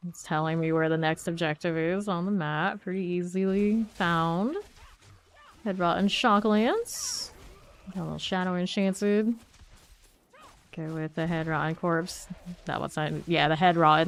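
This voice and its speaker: A woman's voice: on the low side, moderate pace. A woman streaming a game, talking casually to herself as she plays and weighs her choices aloud. She sounds congested and sniffly from a cold. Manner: thinks aloud, chatty and self-questioning. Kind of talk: casual gameplay commentary.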